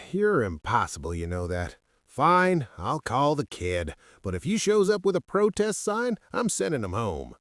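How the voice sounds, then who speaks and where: A man's voice: medium pitch, huge pitch swings, measured pace, few pauses, fairly bright tone, no echo, exaggerated voice. A man plays a cigar-chomping old curmudgeon, stubborn and blustery. His grumbling swoops dramatically up and down in a comic radio skit, recorded close and dry.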